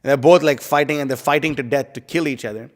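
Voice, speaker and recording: A man's voice: mid-range voice, fast talker. A man giving a casual scripture class, rambling with many fillers as he explains. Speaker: class speaker. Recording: live stream in a reverberant hall.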